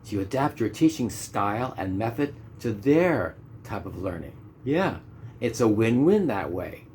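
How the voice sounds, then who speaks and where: A man's voice: lowish voice, sing-song, a little slow, darkish tone, a little hiss or hum. A Canadian man in his fifties gives an instructional talk on teaching, stressing and repeating his key points and asking rhetorical questions. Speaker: ESL teacher. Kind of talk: instructional monologue.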